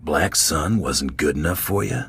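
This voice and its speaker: An actor playing a tough, cool bounty hunter in a video game, speaking in a dry, deadpan way. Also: male voice, extremely low pitch, unhurried, slight background noise, heavily acted. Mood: wry, probing. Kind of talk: video game character acting.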